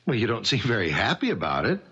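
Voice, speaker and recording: A man's voice: fairly low pitch, wildly swooping pitch, quick pace, bright, forceful tone, breathy edge, exaggerated voice. An actor playing a friendly, chatty businessman in a 1970s radio drama. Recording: dull vintage radio broadcast.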